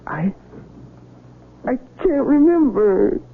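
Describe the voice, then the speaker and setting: An actor playing a confused, earnest young wounded Civil War soldier with an American accent and a halting, stammering delivery, in 1970s radio drama style. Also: male voice, very high voice, sing-song, creaky, heavily acted. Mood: confused, distressed. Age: young adult. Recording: noisy, tinny old radio broadcast.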